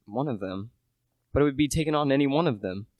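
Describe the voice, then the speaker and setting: A man's voice: mid-range voice, expressive, fast, darkish tone, dry recording, close to an everyday voice. A teenage male actor playing an earnest, humble British salesman in a radio play, chatty and a little hesitant. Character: earnest, humble British relish salesman narrating a murder mystery.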